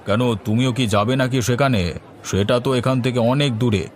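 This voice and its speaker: A man voicing a gruff, haggling market buyer in a cartoon, blunt and businesslike. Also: mid-range voice, a little fast, slight background noise, lightly acted. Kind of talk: cartoon voice acting. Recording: music playing behind the voice.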